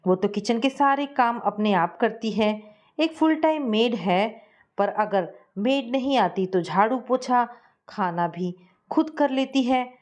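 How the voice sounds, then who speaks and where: A woman narrating a scripted family story in a steady, measured reading style. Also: a little high.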